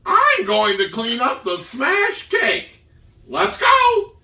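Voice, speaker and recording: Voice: high voice, wildly swooping pitch, takes it slowly, gravelly, bright, forceful tone, slight background noise, heavily acted. A performer voicing a cheerful, bossy puppet housemate in an amateur puppet show. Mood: urgent. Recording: roomy, distant microphone.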